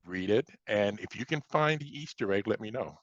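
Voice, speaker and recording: A man's voice: lowish voice, expressive, moderate pace, fairly bright tone, no echo, dull audio. An American man chatting casually as an interview guest, often repeating phrases as he goes. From Chicago, United States. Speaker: science fiction author, interview guest.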